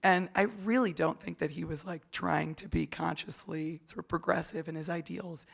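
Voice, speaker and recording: A woman's voice: lowish voice, steady tone, moderate pace, gravelly. A woman speaking as a panelist at a conference, candid and personal, often restarting her sentences. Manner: candid, many restarts and fillers. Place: conference hall stage.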